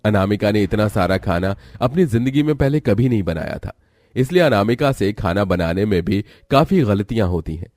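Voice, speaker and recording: A man tells a story as narrator. His voice is on the low side and follows a script. The recording is dry, with little room sound. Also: lively intonation, moderate pace, darkish tone, no echo.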